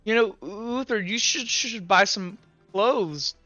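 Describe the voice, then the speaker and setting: Man doing a shy stammering youth, bright voice, lo-fi mic. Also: high-pitched, measured pace, no room sound, soft background music, pitched above the performer's own voice. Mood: timid. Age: young adult. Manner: stammers on the first sounds of words. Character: timid stuttering young man. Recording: dull lo-fi mic.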